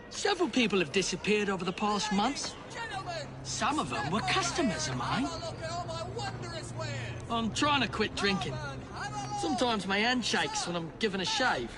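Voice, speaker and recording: A man playing a chatty barber and drinker in a video game. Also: sits high. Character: chatty London barber, a drinker with shaky hands. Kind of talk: video game dialogue acting.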